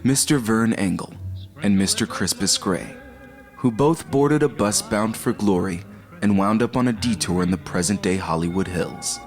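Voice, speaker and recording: A man with a fairly low voice delivers scripted narration in a measured, dramatic storyteller style. Guitar music plays clearly underneath. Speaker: narrator and show host.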